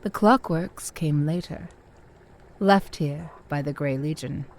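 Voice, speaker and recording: A woman's voice: low-pitched, slow, darkish tone. A woman playing a mysterious, solemn keeper of ancient lore, respectful and deferential, in a fantasy audio drama. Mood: solemn, knowing.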